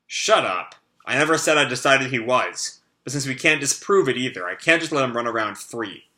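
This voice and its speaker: A man reading aloud a comic, voicing a calm, skeptical adult male strategist in a measured, dry way. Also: medium pitch.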